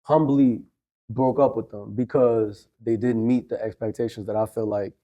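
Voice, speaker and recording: A man's voice: on the low side, steady tone, moderate pace, dark tone. A man from Cuba with a New Orleans accent, a guest speaking confidently in a casual interview and dropping in Spanish phrases. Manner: confident, matter-of-fact, drops Spanish phrases.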